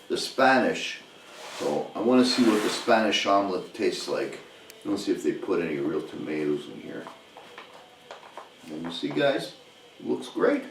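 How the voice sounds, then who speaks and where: A man from Canada talking casually as an outdoor vlog host, rambling in a hesitant, folksy way. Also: sits low. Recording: roomy, distant mic, faint noise.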